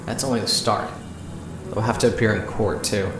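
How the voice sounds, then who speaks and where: A man's voice: fairly low pitch, steady tone, normal speed, lightly acted. An actor playing an earnest young man who works at a diner, questioning, in an audio drama. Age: young adult. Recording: echoey, faint music underneath. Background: faint music.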